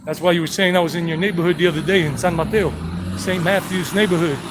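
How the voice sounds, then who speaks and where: A man's voice: high-pitched, quick pace, background noise. A man from South Carolina giving a chatty, rambling walking-tour commentary outdoors, joking with his companion and greeting passersby. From South Carolina, United States. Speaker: tour guide vlogger. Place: outdoors on a town street and park.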